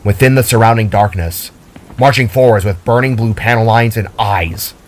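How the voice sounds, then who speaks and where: A man's voice: lowish voice, normal speed. A man reading scripted fiction as a dramatic story narrator.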